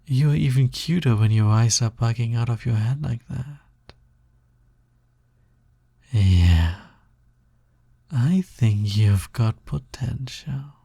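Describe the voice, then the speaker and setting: A man playing a sly, smug, possessive naga roommate in an intimate audio roleplay, with a breathy, gravelly voice and a teasing drawl that often drops toward a whisper. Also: mid-range voice, warm tone, no room sound, full character voice. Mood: smug, flirtatious. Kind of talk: intimate scripted audio roleplay, close ASMR-like delivery.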